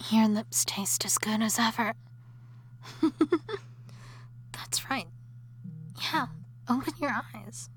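A woman voicing an obsessive, jealous wife who has come back from the dead, in an audio drama that moves between intimate whispering and shrill shouting. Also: slight background noise, close to an everyday voice. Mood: tender. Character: obsessive jealous undead wife, possessive and unstable.

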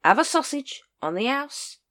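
A wheedling street sausage seller, a grown man who whines his wares in a high, pushy patter, as a woman reader puts him on. He never stops selling, swooping up and down as he talks. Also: very animated, normal speed, a bit bright.

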